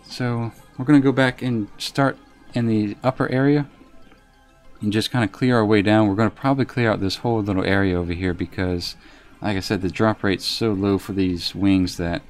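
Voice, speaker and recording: A soft-spoken man with a lowish voice talks through a game on a live stream, reserved and unhurried. He chats with viewers between tasks, with faint game music underneath. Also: fairly even intonation. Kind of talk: casual gameplay commentary, reading chat.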